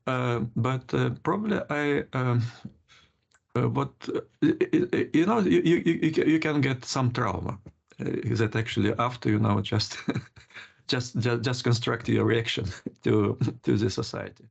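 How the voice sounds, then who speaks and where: Older man, interview guest, very gravelly husky voice, halting delivery, muffled call audio. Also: medium pitch. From Lithuania. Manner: halting, many restarts and laughs. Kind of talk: casual interview.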